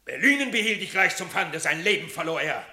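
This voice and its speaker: A man's voice: a little high, expressive, a little fast, bright, forceful tone, full character voice. Piercing, wrathful animal king. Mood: wrathful accusation. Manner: commanding, declamatory. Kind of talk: classical verse radio drama. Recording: roomy, echoing studio sound.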